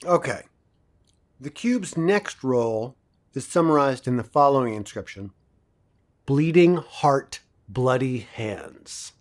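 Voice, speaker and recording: An assured professor of a dystopian future in an audio drama. His mid-pitched voice lectures in a measured, didactic way, with dry wit in the delivery. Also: lively intonation. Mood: didactic, wry. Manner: didactic, measured, dryly wry.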